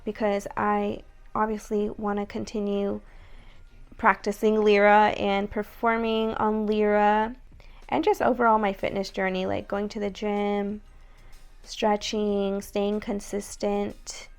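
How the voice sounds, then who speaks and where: Raspy young woman vlogging casually. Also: mid-range voice, monotone, slow pace. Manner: chatty, many likes and ums. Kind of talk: casual vlog talk to camera.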